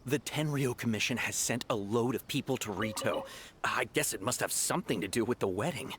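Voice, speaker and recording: Casting: a man as a plain townsman passing on news, even mid voice. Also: medium pitch, brisk, noisy background, natural voice. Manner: plain, informative. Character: matter-of-fact male townsman. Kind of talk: game voice acting.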